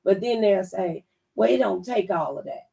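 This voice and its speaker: Gravelly woman testifying, off-mic. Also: medium pitch, lively intonation, normal speed, dark tone, recorded from a distance. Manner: emphatic, testifying in a preacher-like way. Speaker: talk show host. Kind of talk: radio talk show conversation. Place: radio studio.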